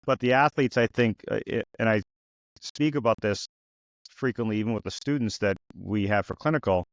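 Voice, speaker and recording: A man's voice: on the low side, brisk, dry recording, low-quality recording. A man around fifty hosting a podcast interview, talking casually and rambling a little, with frequent restarts. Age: 50s. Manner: relaxed, rambling with frequent restarts and "you know". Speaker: podcast host.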